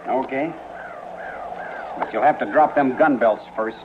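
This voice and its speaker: An actor playing a wary, plain-spoken drifting cowboy with folksy frontier grammar in an old radio western. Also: male voice, medium pitch, measured pace, close to an everyday voice. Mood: suspicious, challenging. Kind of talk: 1950s radio western acting. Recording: muffled, noisy old radio recording.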